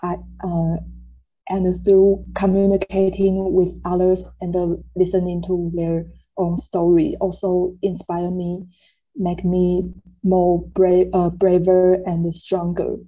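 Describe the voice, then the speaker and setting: A young woman from Taiwan shares her own story, speaking very slowly and haltingly with many pauses. Her voice is low, flat, mellow and a little husky, and the sound is muffled as on a video call. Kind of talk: personal testimony.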